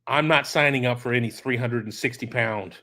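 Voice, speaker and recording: A middle-aged man running a one-man sports talk show, his voice worn hoarse as if he is losing it. He speaks bluntly and with conviction, circling back over his points and stressing them twice. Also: mid-range voice, fairly quick, bright, forceful tone. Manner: blunt, emphatic, repeats phrases for emphasis. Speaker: sports podcast host. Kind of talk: solo opinion talk answering listener questions.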